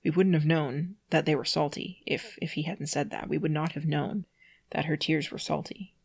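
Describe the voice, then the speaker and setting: A mid-pitched reader, sex unclear, reading prose aloud and adding incredulous, nitpicking, giggly asides. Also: steady tone, normal speed, gravelly, slightly rough. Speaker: amateur reader doing a read-aloud with commentary.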